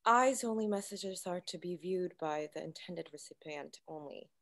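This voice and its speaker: Woman playing cool by-the-book officer, precise, restrained, slightly low pitch, sci-fi table read. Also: normal speed, close to an everyday voice. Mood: formal, firm. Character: formal, rule-bound female colony officer, cool and exact.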